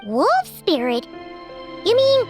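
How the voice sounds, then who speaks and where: Tiny video game fairy sidekick: childlike squeak, very high and animated, music behind. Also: female voice, takes it slowly, heavily acted. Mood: curious. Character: small childlike fairy companion girl, excitable and chatty. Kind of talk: video game character acting.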